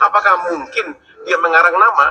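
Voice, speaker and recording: A man giving commentary, reasoning deliberately through open questions. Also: high-pitched, pushed and bright, slight background noise, rough recording. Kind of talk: spoken commentary.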